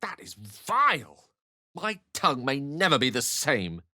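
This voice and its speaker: Eloquent, animated wizard. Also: male voice, mid-range voice, measured pace, heavily acted. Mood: urbane, measured. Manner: theatrical, articulate, formal wording. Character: eloquent, erudite male wizard, urbane and dryly witty. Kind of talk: video game character dialogue.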